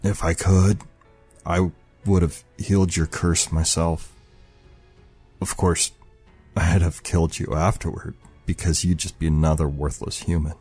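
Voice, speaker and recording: A man's voice: deep voice, level tone, measured pace, pauses often, darkish tone, breathy edge, faint music behind. A man playing a ruthless, bitter soldier and father figure in an audio drama, speaking with disdain and self-justification. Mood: cold, matter-of-fact. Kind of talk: audio fiction character acting.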